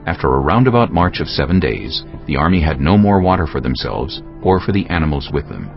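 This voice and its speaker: A male narrator reads scripture solemnly and deliberately. His voice is extremely deep with gravelly creak, over music in a slightly dull recording. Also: big pitch swings.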